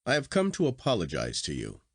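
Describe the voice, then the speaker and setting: Man acting an earnest, animated gentleman sceptic. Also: deep voice, a little fast, husky, dry recording, clean studio sound, full character voice. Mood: contrite. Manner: emphatic, exclamatory, formal. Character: earnest gentleman narrator, contrite then exasperated sceptic. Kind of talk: dramatic storybook dialogue.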